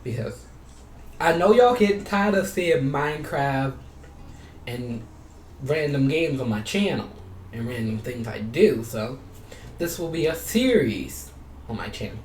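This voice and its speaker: A man's voice: medium pitch, a little slow, pauses often. A man chatting casually as a gaming YouTuber, rambling and repeating his phrases, sometimes reading game text aloud. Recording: roomy, distant mic, steady background noise.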